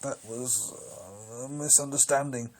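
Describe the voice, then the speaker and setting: Gravelly frightened clergyman man acting, halting, stammering, defiant, slow, audio drama. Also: mid-range voice, close to an everyday voice. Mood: nervous.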